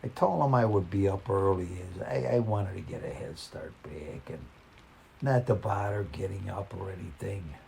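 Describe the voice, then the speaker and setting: A man reading a folksy first-person story in a halting, conversational way. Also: sits low, vocal fry, a little mellow, a little hiss or hum.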